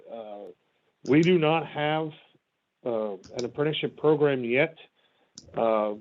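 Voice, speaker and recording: A union business manager calling in, speaking politely and hesitantly with many fillers. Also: male voice, medium pitch. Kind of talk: public comment by phone. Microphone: phone line. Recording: tinny phone line.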